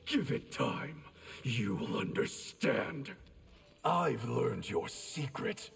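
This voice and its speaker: An actor voicing a cold, sinister outlaw clan leader in a fighting game, with a gravelly voice. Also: male voice, medium pitch, big pitch swings, very slow, a bit bright, soft background music, heavily acted. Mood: cold menace.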